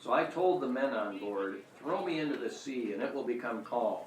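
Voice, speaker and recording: A man's voice: medium pitch, expressive, moderate pace, natural voice. Man as distant, roomy-sounding biblical prophet. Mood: friendly, sincere. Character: repentant but brooding male Hebrew prophet. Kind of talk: amateur audio drama acting. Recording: roomy, distant, noisy amateur recording.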